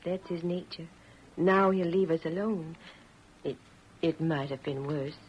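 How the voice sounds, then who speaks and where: A woman playing a gentle, steadfast pioneer wife, speaking softly and earnestly in an old-time radio western. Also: low voice, unhurried. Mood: gentle, resigned. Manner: soft, earnest, dramatic. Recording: muffled, hissy vintage radio recording.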